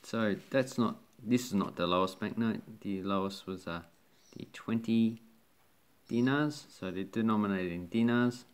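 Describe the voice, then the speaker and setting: A man casually talking through banknotes from his collection, rambling with fillers and restarts. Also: lowish voice. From Australia. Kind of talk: casual show-and-tell commentary.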